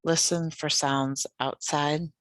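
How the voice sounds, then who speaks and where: A yoga nidra guide leading a guided meditation in a gentle, soothing, unhurried manner. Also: medium pitch, little pitch movement, no echo.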